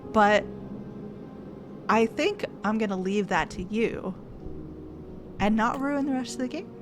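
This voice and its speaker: A woman's voice: mid-range voice, animated, a little slow. Chatty woman streamer musing aloud. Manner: chatty, thinks out loud, laughs and mulls puzzles. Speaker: gaming streamer. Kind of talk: let's play commentary, reading game text aloud. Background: game music.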